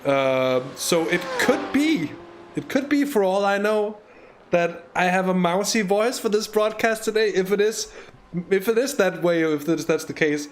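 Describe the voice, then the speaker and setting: A man's voice: sits high, expressive, measured pace. A man commentating a live sim race, chatty and hesitant, with lots of fillers and restarts, on a USB microphone.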